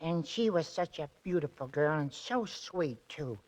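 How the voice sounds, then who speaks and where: An actor in a 1940s radio drama playing a timid, boastful, hesitant and naive detective. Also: male voice, fairly high pitch, lively intonation, unhurried, a little mellow, rough recording. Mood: earnest, hesitant. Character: timid, boastful male detective, sweet and hapless.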